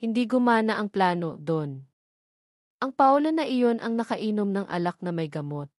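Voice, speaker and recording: A woman's voice: mid-range voice, expressive, normal speed, a little mellow, dry recording, studio quality, lightly acted. A woman with a Filipino accent voicing a male henchman reporting to his boss in an audio drama.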